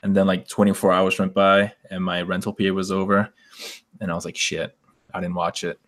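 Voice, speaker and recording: A man co-hosting a podcast, talking casually and a bit haltingly about games and movies. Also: deep voice, flat delivery, fairly bright tone. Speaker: podcast co-host.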